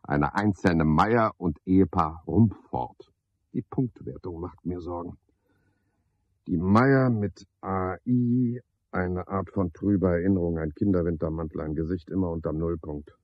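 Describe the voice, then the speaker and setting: An actor playing a cynical, scheming night porter who talks to himself in a sardonic, pedantic way in a radio play monologue. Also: male voice, deep voice, unhurried, no echo. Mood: brooding, muttering. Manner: sardonic, pedantic, swinging from muttering to outbursts. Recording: dull, lo-fi, dry studio radio recording.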